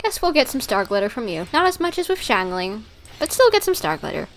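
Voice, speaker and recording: A woman with a high-pitched voice gives casual commentary on a game livestream. She is chatty and excitable, and her pitch moves around in a very lively way. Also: brisk, a little hiss or hum, low-quality recording.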